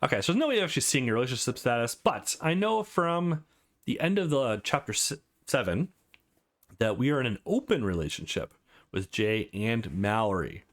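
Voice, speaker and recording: A man's voice: medium pitch, brisk. A man hosting a let's play, reading a visual novel aloud expressively with casual commentary. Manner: expressive, acts out dialogue between narration.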